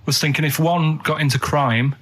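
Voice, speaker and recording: A man's voice: on the high side, steady tone, normal speed, fairly bright tone. A man chatting casually on a comedy radio show, telling stories in a rambling, naive and earnest way. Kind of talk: casual comic radio chat.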